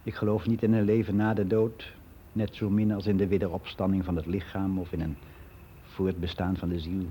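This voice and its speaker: A man's voice: low-pitched, level tone, moderate pace, dark tone, slight background noise, lightly acted. An actor playing a candid, reflective older man, confiding matter-of-factly in a conversational radio drama monologue. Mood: sober, reflective. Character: candid, reflective ageing man, worldly and unbelieving.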